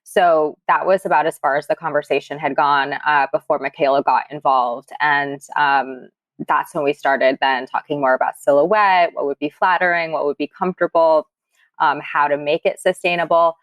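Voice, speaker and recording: A woman's voice: deep voice, steady tone, moderate pace, no echo. A woman interview guest explaining things in a conversational way. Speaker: guest, sustainable fashion advocate.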